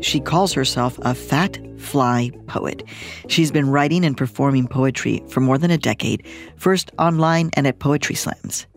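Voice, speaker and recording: A woman's voice: rumbling low, a little slow. A woman hosting a radio program, narrating a playful story from a field trip in scripted voice-over. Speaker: radio host. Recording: narration with music underneath.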